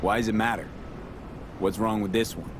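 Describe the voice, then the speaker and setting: Game role: male actor as cool street merc, fairly low, terse and practical, noisy vehicle ambience. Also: normal speed, close to an everyday voice. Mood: cool, businesslike. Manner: terse, clipped, matter-of-fact. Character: cool, pragmatic street mercenary man. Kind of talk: naturalistic video game acting. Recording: vehicle and ambience noise behind.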